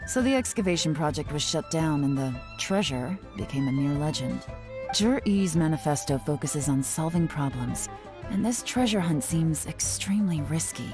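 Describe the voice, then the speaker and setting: A woman voicing a composed female intelligence agent in a video game, speaking in a calm, measured way. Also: deep voice. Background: game music.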